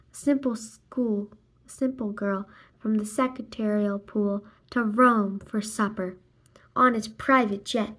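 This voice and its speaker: A woman reading a children's graphic novel aloud expressively, acting out the dialogue and sound words in a deliberate way. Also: a little high. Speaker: read-aloud narrator.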